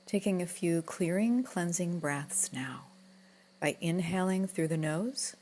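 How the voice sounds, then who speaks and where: A woman guiding a relaxation meditation in a gentle, soothing, unhurried manner, with soft breathy moments. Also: fairly low pitch, a little hiss or hum. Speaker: yoga nidra guide.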